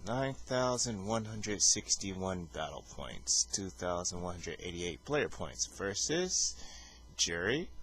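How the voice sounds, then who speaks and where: Man, deep low voice, hesitant filler-heavy delivery, casual gameplay commentary, faint background hiss. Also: a bit bright, rough recording. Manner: rambling, hesitant, many fillers and restarts; calls his own voice boring. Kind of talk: casual fighting-game commentary.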